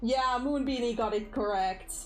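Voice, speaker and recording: A woman's voice: a little high, normal speed, faint music behind. Chatty Finnish-accented woman streamer. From Finland. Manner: chatty, lots of fillers, laughs easily. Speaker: livestream host, animator. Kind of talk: casual livestream chat.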